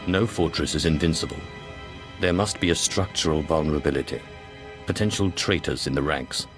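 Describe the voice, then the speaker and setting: An actor playing a cold, shrewd Sith sorcerer in a video game, measured, formal and disdainful. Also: male voice, very deep voice. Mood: cold, calculating. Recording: loud music under the voice.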